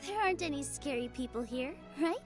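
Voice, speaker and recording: An actress playing a gentle young dancer who speaks softly and reassuringly, over game music. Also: female voice, sits high, lively intonation, fairly quick. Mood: encouraging. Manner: soft and reassuring. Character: gentle young female dancer, warm, encouraging.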